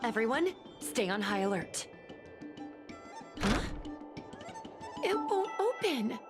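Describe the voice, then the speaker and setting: A steady young team leader. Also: female voice, a little high, slow pace. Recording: game cutscene with music score. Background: music.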